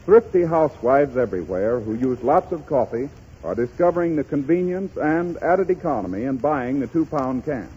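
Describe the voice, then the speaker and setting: A man's voice: mid-range voice, animated, moderate pace, mellow, breathy edge. A man working as an announcer, reading scripted advertising in a 1930s radio style. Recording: muffled 1930s radio recording.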